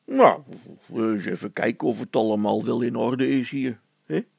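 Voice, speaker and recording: A storybook village constable, acted by a man, blustering and scheming. The voice is heavily creaky and rough, recorded very dry, with hesitant, conspiratorial phrasing. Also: medium pitch, normal speed, no echo. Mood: flustered, servile. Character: scheming village constable, blustering, flustered before his superior.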